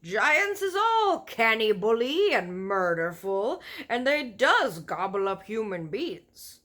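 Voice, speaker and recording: A woman's voice: on the high side, big pitch swings, pitched above the performer's own voice, full character voice. A woman voicing a huge, boisterous giant in a children's storybook, declaiming emphatically with mangled folksy grammar. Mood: boastful, explaining. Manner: loud, emphatic, drawn-out declaiming. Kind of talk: children's storybook read-aloud.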